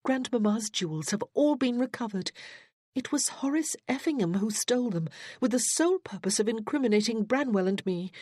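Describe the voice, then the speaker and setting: A woman's voice: on the high side, a little mellow, no room sound, clean studio sound, pitched above the performer's own voice. A woman voicing an earnest young woman in an audiobook, explaining herself to her stern father.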